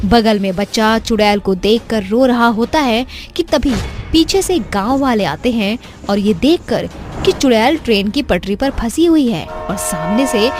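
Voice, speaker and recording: A woman's voice: on the high side, expressive, measured pace. A woman narrating a scripted story as a storyteller. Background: faint music.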